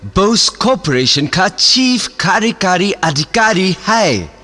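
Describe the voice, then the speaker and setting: An actor playing a British colonial police commissioner in a radio play, formal and halting, speaking British-accented Hindi mixed with English. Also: male voice, high-pitched, very animated, sharp tone, full character voice. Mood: urgent, pleading. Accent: British-style accented Hindi, put on. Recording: music behind the voice.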